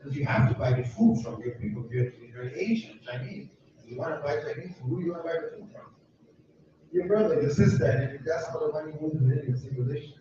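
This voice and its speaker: A man talks emphatically to a group and circles back on his points. His voice sits a little high for a man and comes from some distance off the mic. The sound is muffled and echoey, with loud ambience behind him. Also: lively intonation, fast, noisy background. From United States. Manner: emphatic, rambling, repeats himself. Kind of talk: informal talk to a walking group.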